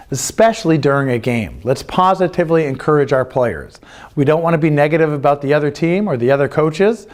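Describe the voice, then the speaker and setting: A middle-aged American man giving an earnest, plain-spoken instructional presentation as a youth sports coach, stressing and repeating his key points. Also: a little high. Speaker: youth lacrosse coach and club representative. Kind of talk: instructional presentation of club rules.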